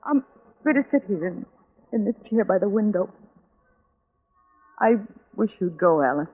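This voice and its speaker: An actress playing a desperate, lovesick young woman in a 1940s radio drama, with a halting, pleading, melodramatic delivery. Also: mid-range voice, lively intonation, husky. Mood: brooding. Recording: tinny band-limited old radio recording.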